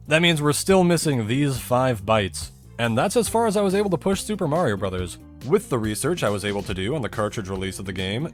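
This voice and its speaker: A man narrating a scripted technical explainer in a conversational, methodical way, with casual asides. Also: mid-range voice.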